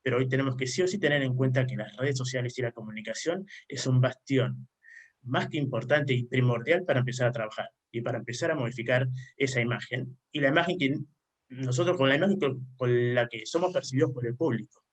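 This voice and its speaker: An Argentine man speaking at a mid pitch as a panelist at an online round table. He lays out his ideas in long explanatory runs. He keeps checking in with his listeners through a rising "¿sí?". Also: fairly quick. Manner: frequent questioning "¿sí?" tags, explanatory. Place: remote online conference.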